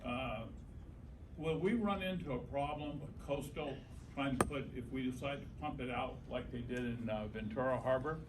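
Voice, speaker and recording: A man in the audience asking questions from the floor, halting and restarting as he goes. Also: mid-range voice. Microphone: speaking without a microphone. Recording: distant voice in noisy room.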